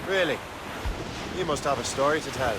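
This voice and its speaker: An actor with an Irish accent playing Shay, an earnest young ex-assassin who captains a ship in a video game. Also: male voice, medium pitch, moderate pace, very noisy, lightly acted. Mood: curious, wry.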